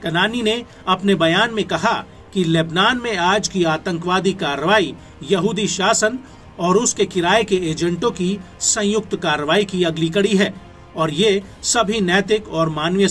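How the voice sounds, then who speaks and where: A man's voice: high voice, fairly bright tone. A man with an Indian accent reading scripted news narration in an emphatic, formal newsreader delivery. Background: background music.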